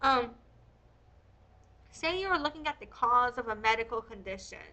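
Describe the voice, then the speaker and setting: A woman's voice: on the high side, animated, moderate pace, pushed and bright. A woman reading aloud and commenting as a host, in a blunt, opinionated, casual way. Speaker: host reading and critiquing a historical text.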